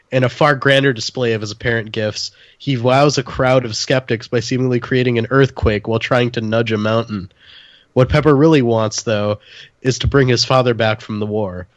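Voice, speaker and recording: A man's voice: medium pitch, dry recording. Wry man joking, dry close sound. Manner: wry, jokey. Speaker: podcast co-host. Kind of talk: casual comedic film chat, reading article passages aloud.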